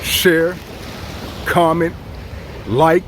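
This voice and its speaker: A man's voice: fairly high pitch, very slow, slight background noise. Socal dude vlogging on his phone by the beach, laid-back and rambly, waves faint behind him. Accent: Southern Californian. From Southern California. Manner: relaxed, rambling, repeats himself, chuckles often. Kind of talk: casual tribute vlog. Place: outdoors by the beach. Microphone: phone or camera filming himself.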